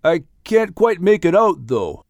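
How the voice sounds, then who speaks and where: A man voicing a calm, level-headed young son. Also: high-pitched, a little slow, creaky, no room sound, voice pushed up, exaggerated voice.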